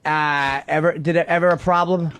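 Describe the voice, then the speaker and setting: A man's voice: a little high, fairly even intonation, a little fast, fairly bright tone, clean tone, dry recording. A man co-hosting a radio show, asking questions in casual interview banter. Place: radio studio.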